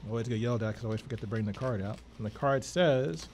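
A man's voice: mid-range voice, rapid-fire, a little mellow, a little hiss or hum. A middle-aged American man hosting a broadcast conversationally, speaking close to a studio microphone. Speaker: radio show co-host. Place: small office studio, indoors. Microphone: close studio microphone with headphones.

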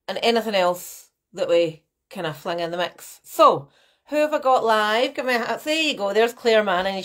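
Chatty woman coaching live. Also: fairly high pitch. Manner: chatty, animated, gestures a lot, greets viewers by name. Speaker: fitness and nutrition coach. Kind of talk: live-stream masterclass to viewers. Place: small room at home, indoors. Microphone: laptop webcam mic.

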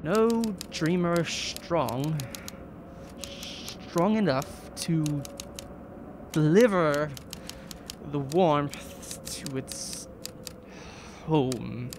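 A woman playing a desperate, obsessive dying writer in an audio drama, halting and fraught as she freezes. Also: low-pitched, expressive, exaggerated voice. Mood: weary, musing. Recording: clearly noisy background.

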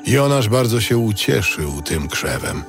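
A man playing a solemn narrator, reading a scripted audio Bible in a measured way. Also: fairly low pitch, sing-song, music in the background. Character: solemn male biblical narrator.

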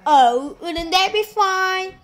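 A woman's voice: extremely high pitch, expressive, slow, fairly bright tone, pitched above the performer's own voice, full character voice. A woman voicing a lazy, spiteful old aunt who gushes about herself. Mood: gushing.

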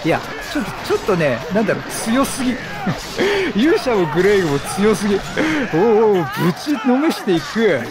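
A man giving excitable, chatty video game commentary, exclaiming often and playfully mimicking the characters. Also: sits high, moderate pace, music in the background. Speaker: gameplay streamer.

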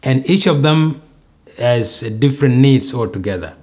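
Middle-aged male interviewee, measured and explanatory, mid-range voice, indoor sit-down. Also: mid-range voice, animated, warm tone. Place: indoor sitting room.

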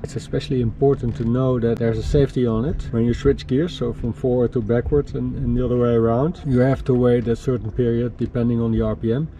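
A man of middling pitch talks through an informal tour as an owner would. His phrasing is a little hesitant, put together piece by piece. Clear ambient noise, like that of the outdoors, sits behind his voice. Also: steady tone, fast, hardly any pauses, mellow. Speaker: boat owner giving a walkaround tour. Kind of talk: informal explanatory tour commentary. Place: aboard a sailing catamaran in a marina, in bow compartments and on deck.

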